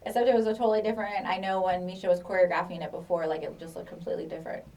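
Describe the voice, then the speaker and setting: A woman's voice: lowish voice, steady tone, racing, a little mellow, far from the mic. A woman interviewing a guest in a casual, friendly, encouraging way.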